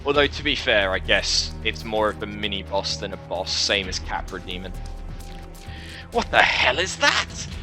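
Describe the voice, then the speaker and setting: A man reading a game's story aloud in the first person, with an expressive storytelling delivery. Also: medium pitch. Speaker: video game let's player reading a visual novel aloud. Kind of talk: dramatic first-person narration of game text with casual asides.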